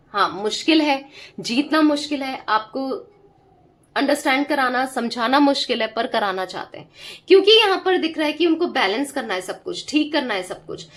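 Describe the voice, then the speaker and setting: A high-pitched young woman reads tarot cards for her viewers. Her throat is sore from a cold, but she keeps pushing her points, repeating phrases and checking in with the listener every few lines. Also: fairly bright tone. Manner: emphatic, repetitive, talks straight to the viewer with frequent "theek hai?". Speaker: tarot reader. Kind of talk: direct-to-camera tarot reading.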